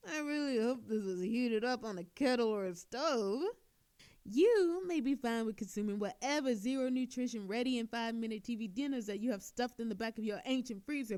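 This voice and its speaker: A woman's voice: on the high side, darkish tone, clean tone, lightly acted. A woman playing an obnoxiously flirtatious, sarcastic female thief in a scripted audio roleplay, teasing coyly and theatrically. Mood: sarcastic, sly.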